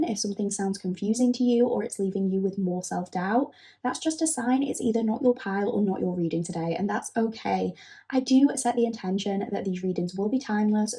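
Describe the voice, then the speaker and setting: Woman tarot reader, husky with a cold, mellow and soft, gentle reassuring delivery. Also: medium pitch. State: recovering from a cold. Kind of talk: friendly scripted intro to a tarot reading.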